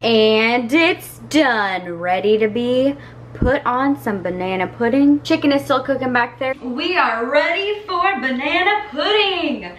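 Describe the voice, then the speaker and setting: A woman's voice: high-pitched, expressive, measured pace, over music. A young woman talking casually as she cooks in her home kitchen, chatting and teasing herself along the way. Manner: chatty, self-teasing, talks while cooking. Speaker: cooking vlogger. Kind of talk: casual cook-along chat. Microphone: camera on the counter, at some distance. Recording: echoey kitchen with faint room noise.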